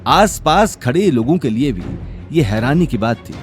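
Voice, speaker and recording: A man's voice: medium pitch, big pitch swings, normal speed, faint music behind. A man narrating a scripted audio drama as a dramatic storyteller.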